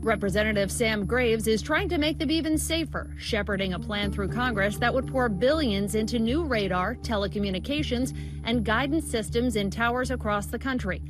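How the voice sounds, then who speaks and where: A woman's voice: fairly high pitch, moderate pace. A woman narrating a scripted investigative news report in the role of a reporter. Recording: music bed behind the voice.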